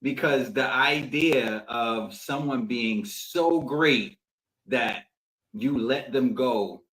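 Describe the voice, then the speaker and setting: Slow, reflective man co-hosting a podcast. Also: mid-range voice, fairly bright tone, rough recording. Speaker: podcast co-host. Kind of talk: casual podcast conversation.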